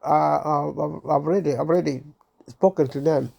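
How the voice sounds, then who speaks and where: A man of sixty from Uganda, hosting his own channel and talking to camera in a halting way, with many pauses, fillers and restarts, clearing his throat as he is unwell. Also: a little high, steady tone, lo-fi sound. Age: 60s. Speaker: channel host. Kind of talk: casual talk to camera.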